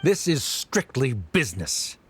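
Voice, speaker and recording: An actor playing a stern, cold middle-aged scholar in an anime game, formal, curt and dismissive. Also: male voice, mid-range voice, sing-song, measured pace, slightly rough, exaggerated voice.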